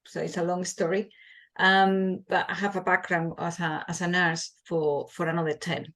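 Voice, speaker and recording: A woman's voice: on the low side, flat delivery, moderate pace, a bit bright. A woman in her forties from Spain with a Spanish accent, a midwife answering interview questions in a passionate, earnest way, often restarting her words.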